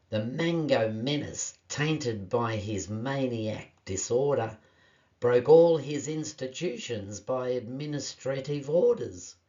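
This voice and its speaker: A man with a croaky voice from a cold, hosting his poetry channel and reciting his own rhyming verse in a measured spoken-word delivery. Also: medium pitch, muffled recording. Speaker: poet and channel host.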